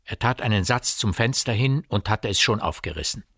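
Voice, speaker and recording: Deep, dry-sounding male narrator. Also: animated, a little fast, vocal fry, bright, forceful tone, slightly rough, no room sound. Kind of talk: scripted literary narration in a radio play.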